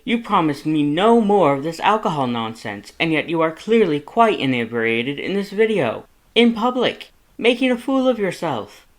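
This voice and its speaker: A man voicing a loud, fussy skeleton who is the younger brother, scolding emphatically in a fan fiction audiobook reading. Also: fairly high pitch, very animated, normal speed, voice pushed up. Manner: scolding, emphatic, rising indignation.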